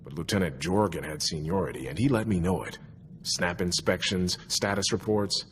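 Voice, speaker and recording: An actor playing an easygoing, chatty and wry young army soldier in a video game, speaking in a musing, conversational way. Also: male voice, deep voice, moderate pace, a bit bright, slight background noise. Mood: wry, reminiscing. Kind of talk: video game cutscene dialogue.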